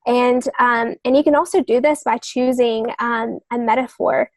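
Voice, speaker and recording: A woman's voice: on the high side, steady tone, moderate pace, no echo. A woman speaking as a guest novelist on a podcast, explaining her methods somewhat hesitantly and often rephrasing herself.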